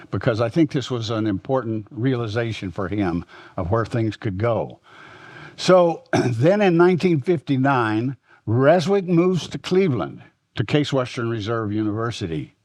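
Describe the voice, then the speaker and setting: An older man with a Texas drawl lectures about history, reminiscing and wandering through his story with frequent fillers and restarted phrases. His voice sits at an ordinary male pitch, and the recording is close and dry with almost no room sound. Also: a little mellow, no room sound. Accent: Texan. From Texas, United States. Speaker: symposium speaker.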